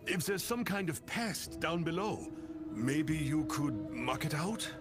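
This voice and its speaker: A man's voice: fairly high pitch, slow, music in the background. A man playing an earnest, anxious villager in a fantasy game, urgent and folksy. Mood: worried, earnest. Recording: loud game score over voice.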